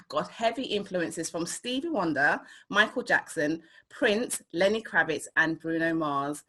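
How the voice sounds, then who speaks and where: A woman's voice: lowish voice, sharp tone, breathy edge. A woman hosting a casual, chatty arts interview, gushing with praise as she talks. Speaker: show host.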